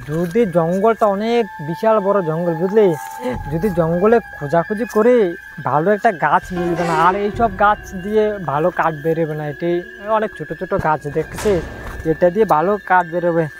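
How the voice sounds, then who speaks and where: A high-pitched woodcutter father acted by a man, poor and protective of his young son. He speaks plainly and repetitively over clear background music, in amateur horror drama style. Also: close to an everyday voice.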